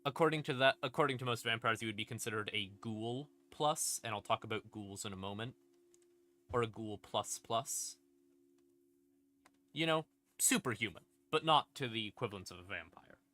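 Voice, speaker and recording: A man streaming a casual lore explainer in an informal, sweary, conversational way, with emphatic, dramatic stresses and drawn-out words. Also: on the low side, pushed and bright. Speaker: streamer, host. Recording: music playing behind the voice. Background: creepy ambient music.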